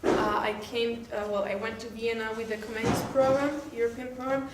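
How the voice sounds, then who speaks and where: A woman's voice: a little high, flat delivery, fairly quick. A woman from southern Spain with a Spanish accent introduces herself to a group in English as a second language, hesitantly searching for words. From Malaga, southern Spain. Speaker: workshop participant. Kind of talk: informal self-introduction to a group. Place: echoey room. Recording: roomy, distant mic, faint noise.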